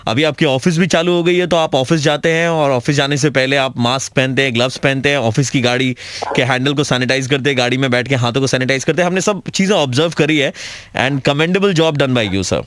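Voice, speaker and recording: A man playing a polite caller from a local councillor's office, speaking in a formal, measured, earnest way. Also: mid-range voice, fairly even intonation, a bit bright, no echo, lightly acted. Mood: politely official.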